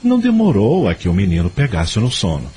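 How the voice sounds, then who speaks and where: A man narrating a scripted audio drama in an expressive storytelling manner. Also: fairly low pitch, fairly quick, slightly rough.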